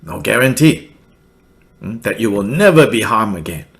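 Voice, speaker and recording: A middle-aged man from Malaysia giving a Buddhist teaching, halting and full of fillers, with soft laughs between points. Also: fairly low pitch, big pitch swings, gravelly. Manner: slow, halting, many fillers, laughs softly. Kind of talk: dharma teaching commentary.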